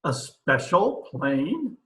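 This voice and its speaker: A man's voice: fairly high pitch, sing-song, extremely slow, fairly bright tone, heavily acted. A man playing a blustering, pompous island ruler in an old-time radio comedy. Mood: baffled. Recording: roomy remote home recording.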